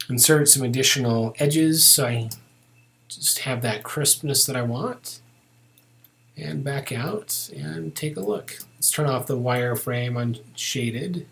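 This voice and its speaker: A man giving a casual step-by-step software tutorial, thinking aloud informally as he works. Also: mid-range voice, slow, vocal fry.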